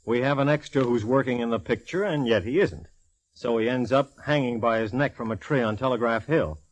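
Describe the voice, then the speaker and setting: Actor as a sardonic police detective, medium pitch. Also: male voice, a little fast, natural voice, low-quality recording. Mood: exasperated, dry. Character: dry, wisecracking male police detective. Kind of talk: 1940s radio detective acting.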